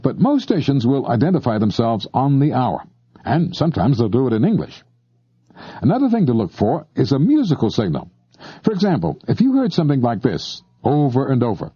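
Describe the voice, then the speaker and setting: Animated, mellow-voiced man narrating a guide. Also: mid-range voice, a little fast, breathy edge, dry recording, dull audio. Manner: conversational, addressing the listener directly. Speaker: narrator of an instructional tape on shortwave listening. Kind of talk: scripted explanatory narration.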